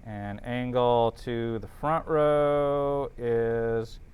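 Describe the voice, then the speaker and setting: Male instructor, smooth and clean tone, mid pitch, informal working-it-out delivery, talks to students while calculating. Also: very slow, darkish tone. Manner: thinks aloud while working, checks in with students. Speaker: teacher. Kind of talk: informal classroom lecture working through calculations.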